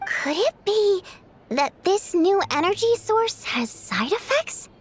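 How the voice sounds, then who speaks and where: Very high-pitched, inquisitive Fae lady. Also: slow pace, exaggerated voice. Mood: curious. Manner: chatty, expressive, probing. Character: Miss Vodyanica, female Fae theater performer, socially concerned, inquisitive. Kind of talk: video game voice acting.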